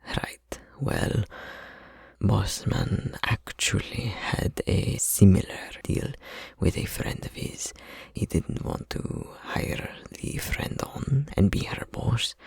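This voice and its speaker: A man playing an easygoing, chatty tattoo studio employee in an ASMR roleplay, talking in a breathy, husky, casual, rambling way. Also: on the low side, no echo, exaggerated voice.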